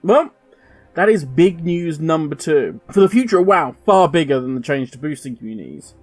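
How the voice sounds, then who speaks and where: A man's voice: fairly high pitch, animated, moderate pace, a little mellow, no echo, faint music behind. A man hosting a gaming video, giving emphatic, opinionated commentary straight to the viewer. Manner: emphatic, ranting, direct address.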